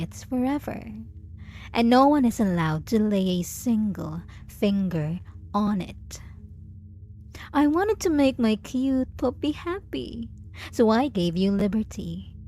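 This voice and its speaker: Woman performing as possessive dominant female lover, ASMR roleplay, unhurried, lilting intonation, teasing, condescending, doting. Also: medium pitch, mellow. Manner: slow, teasing, condescending pet-name cooing with deliberate pauses. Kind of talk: intimate ASMR audio roleplay.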